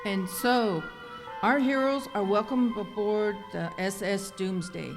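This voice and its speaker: A woman playing a gleefully ominous horror-show hostess, speaking theatrically in the manner of old-time radio. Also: medium pitch. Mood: ominous glee. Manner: theatrical, slow, drops into whispers. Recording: echoey hall with background music.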